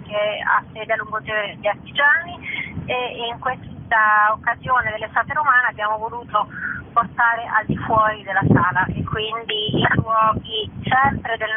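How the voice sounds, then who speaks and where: A woman giving an informal interview as an event organiser, speaking a little hesitantly and searching for words. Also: on the high side. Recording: tinny phone line with clear background noise.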